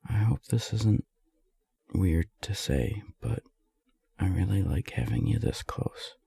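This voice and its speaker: Casting: male actor for shy, self-deprecating friend in love, romance audio roleplay; slow, gravelly, halting delivery. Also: low voice, expressive, no echo, exaggerated voice. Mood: shy, happy. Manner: hesitant, halting, soft-spoken. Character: lovestruck, self-deprecating non-binary friend, shyly confessing.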